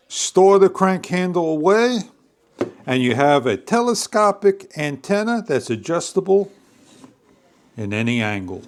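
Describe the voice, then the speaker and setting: A man narrating a step-by-step product demonstration in a deliberate, phrase-by-phrase instructional way. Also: a little high. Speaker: product reviewer.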